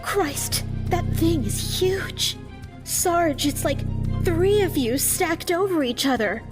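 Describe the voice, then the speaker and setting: A woman's voice: extremely high pitch, lively intonation, slow pace, full character voice. An actress playing a brash, hot-headed young woman adventurer in an audio drama, blunt and sarcastic. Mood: alarmed, wry. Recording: faint music under the voice.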